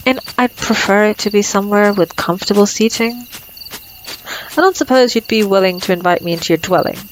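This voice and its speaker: A woman's voice: medium pitch, slight background noise, lightly acted, rough recording. Woman acting a teasing female vampire, night insects behind. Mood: teasing, smug. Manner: teasing, sardonic, taunting. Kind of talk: ASMR roleplay acting.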